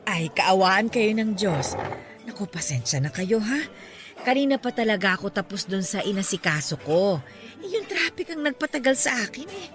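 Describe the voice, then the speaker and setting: A woman playing a jolly, teasing, doting older mother in a radio drama, expressive and chatty, full of exclamations. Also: mid-range voice, normal speed, music in the background, natural voice. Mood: apologetic, weary.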